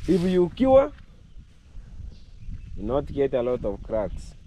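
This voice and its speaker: A middle-aged man from Kenya with a Kenyan accent, hoarse from a cold, chatting to his camera while he works outdoors in his village. He repeats words and jumps up in pitch when he stresses a point. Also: wildly swooping pitch, takes it slowly, mellow, a little hiss or hum. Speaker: vlogger. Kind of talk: casual vlog to camera. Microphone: camera held at arm's length.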